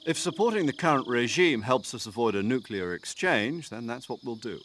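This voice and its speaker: An actor playing a talkative military commander giving a mission briefing over the radio in a game cutscene. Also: male voice, mid-range voice, lively intonation, moderate pace, a bit bright, slight background noise, natural voice. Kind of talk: video game cutscene acting.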